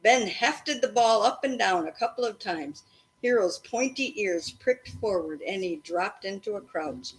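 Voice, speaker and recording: A woman reading a children's story aloud expressively, in an animated way meant to engage a young listener. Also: mid-range voice, measured pace, slight background noise, poor audio.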